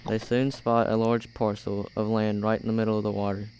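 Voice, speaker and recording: A man's voice: fairly low pitch, little pitch movement, a little fast, background noise. A young man reading scripted story narration for an amateur radio play. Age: teenager or young adult.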